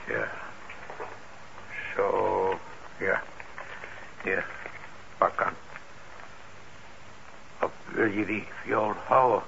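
Very gravelly older shopkeeper. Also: male voice, sits low, steady tone, extremely slow, pauses often, sharp tone, heavily acted. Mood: businesslike. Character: kindly older shopkeeper, a gruff, forgiving father. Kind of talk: radio drama acting. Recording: dull, noisy old radio recording.

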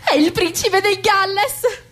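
A woman's voice: high voice, animated, fairly quick. A woman playing a fussy, theatrical middle-aged society hostess and mother in a radio comedy set in the 1920s. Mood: hysterical excitement. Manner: theatrical, exasperated, grandiose. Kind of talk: radio play acting of a 1920s stage comedy.

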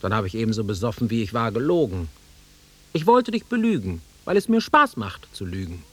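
An actor playing a nostalgic, easily offended middle-aged man with a husky voice in a naturalistic radio drama. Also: on the low side, sing-song, fairly quick. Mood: bitter, irritated. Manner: wistful, insistent, easily offended. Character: nostalgic, touchy middle-aged man revisiting his childhood fields.

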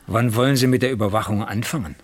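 A man's voice: lowish voice, quick pace, close to an everyday voice. A man playing a concerned, formal works manager in a German crime radio play, sober and questioning.

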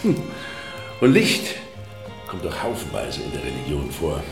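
An actor playing a self-assured, smooth-talking baron in a German radio drama, theatrical and commanding. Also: male voice, extremely low pitch, huge pitch swings, measured pace, reverberant, music in the background, full character voice. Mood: expansive.

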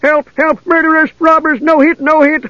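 A man's voice: very high voice, flat delivery, normal speed, sharp tone, dry recording, lo-fi sound, pitched above the performer's own voice. A man voicing a cowardly, cunning little gully dwarf in a whiny, sniveling voice and broken grammar, for a fantasy audiobook. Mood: panicked begging. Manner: broken pidgin grammar, pleading.

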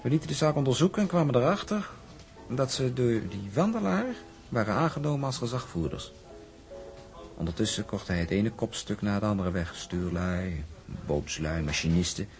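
An actor playing a confident shipping man in a drama, explaining in a measured, emphatic manner. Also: on the low side, lively intonation, darkish tone, faint music behind. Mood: explaining, disdainful. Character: confident shipping man briefing a woman about a rival.

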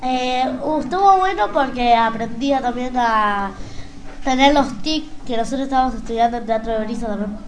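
A woman with an Argentine accent co-hosting an informal, unscripted radio chat in a halting way. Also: on the high side, slight background noise. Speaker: radio show co-host.

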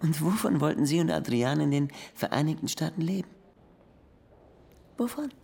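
Gravelly, insistent police interrogator. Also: male voice, fairly high pitch, animated, moderate pace, darkish tone, full character voice. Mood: pressing. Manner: pressing, pointed. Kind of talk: crime radio drama acting. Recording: music under the voice.

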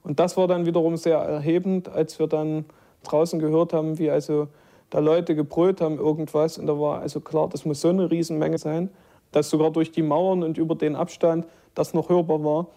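Male witness, fairly high yet dark voice, reflective recollection. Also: level tone, brisk. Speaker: former detainee, eyewitness. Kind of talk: spoken recollection.

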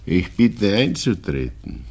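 An actor playing a gentle, regretful old Viennese father in a radio drama, with a husky, gravelly voice and halting speech. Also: sits low, big pitch swings, exaggerated voice. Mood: polite, hurried. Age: older. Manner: slow, halting, repeating words. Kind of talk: 1960s German radio drama acting.

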